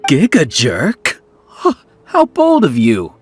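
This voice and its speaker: An actor playing a smug male scholar who runs a hive mind, talking in a calm, condescending lecture. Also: on the high side, slow, slightly rough, full character voice. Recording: faint game music behind.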